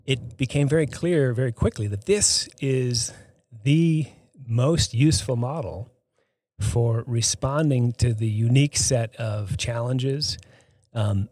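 A middle-aged man from the Boston area, a physician and health center CEO, answering interview questions thoughtfully with many pauses and restarts. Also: medium pitch, mellow, no room sound. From Boston area, United States. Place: studio.

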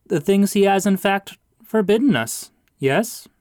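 A man voicing a pompous, booming male pastor with a preachy, rising delivery. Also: lively intonation, a little slow, a little mellow, no room sound, clean studio sound.

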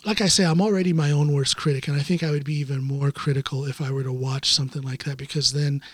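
Chatty podcast host, dry sound. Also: male voice, fairly high pitch, fairly even intonation, fast talker, breathy edge, no echo. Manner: chatty, self-deprecating, many restarts. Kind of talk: casual conversation.

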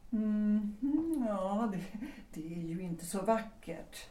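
A woman playing an unhappy, lovestruck married woman in a period audio drama, speaking earnestly and deliberately. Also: mid-range voice, lively intonation, warm tone, distant mic, close to an everyday voice. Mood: guarded. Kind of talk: period stage-play audio drama acting. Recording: roomy echo, away from mic.

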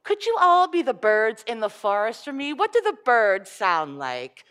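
A tiny, cheerful forest elf from a children's storybook, chirping away in a sing-song, playful voice, as a woman puts him on. His pitch jumps high and swoops all over the place. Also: sing-song, moderate pace, a bit bright, clean tone.

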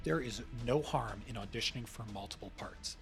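A man's voice: mid-range voice, fairly quick, a bit bright, faint music behind. A man hosting a podcast, reading scripted narration as a writer presenting his work.

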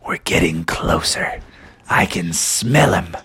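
A storyteller voicing a gruff but helpful bridge troll in a growly, theatrical way. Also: low voice, expressive, slow pace, a bit bright, voice pushed down, exaggerated voice. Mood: hushed, tracking.

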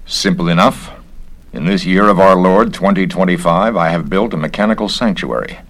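A man voicing a bitter, defiant wealthy eccentric in a low, brooding, sardonic manner. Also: moderate pace, a bit bright.

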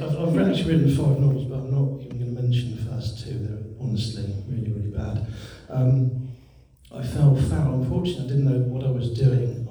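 A man on a festival panel talks about his writing in a self-deprecating way, repeating words as he restarts. His voice is very dark and mellow. He sounds distant in a very echoey hotel room. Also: medium pitch. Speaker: author and musician on a panel. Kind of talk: panel talk.

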